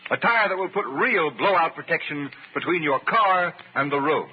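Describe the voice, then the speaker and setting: A man's voice: on the high side, expressive, moderate pace, dull audio. An American man announcing in 1930s radio advertisement style, with an emphatic, urgent sales delivery. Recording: thin, faintly noisy 1930s radio.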